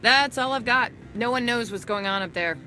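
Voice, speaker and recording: A woman's voice: fairly high pitch, over music, lightly acted. Wry, businesslike undercover agent, played by a woman. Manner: brisk, matter-of-fact, dryly sardonic. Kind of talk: video game dialogue acting.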